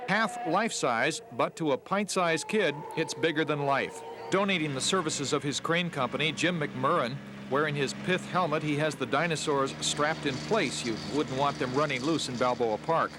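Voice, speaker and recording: Higher-voiced American male reporter, noisy tape. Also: moderate pace. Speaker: TV news reporter. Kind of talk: scripted wry feature narration. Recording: noisy 1980s archive news report.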